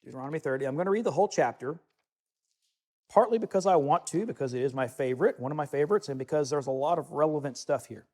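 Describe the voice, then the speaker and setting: A man's voice: a little high, fast, a little mellow. A man giving a teaching sermon to listeners in a room, reading scripture aloud and adding conversational asides. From United States. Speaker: Bible teacher.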